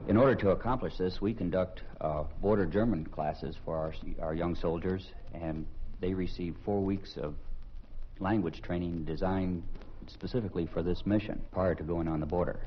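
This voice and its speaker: A man's voice: low-pitched, normal speed. A military man explaining operations formally in an interview. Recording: dull lo-fi recording with faint noise.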